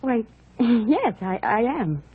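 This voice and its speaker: A woman's voice: fairly high pitch, very animated, slow pace. A kindly, angelic young woman with prim, precise manners. Her voice carries a long echo on a tinny vintage radio recording. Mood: shyly polite. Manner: polite, precise, gently proper. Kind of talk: 1940s radio drama acting.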